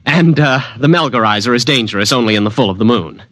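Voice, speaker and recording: A man's voice: medium pitch, lively intonation, fast, fairly bright tone, no echo, close to an everyday voice. A man playing a haunted, jittery young student who narrates his predicament in an old-time radio drama. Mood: anxious. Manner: jittery repetitions, dramatic brooding.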